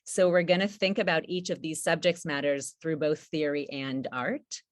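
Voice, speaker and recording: A woman with a notably deep voice co-hosts a scholarly panel. Her delivery is halting as she searches for words, and the sound is very dry, with hardly any room to it. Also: steady tone, no echo. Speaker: artist co-hosting a panel event. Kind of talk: introducing speakers at a scholarly panel.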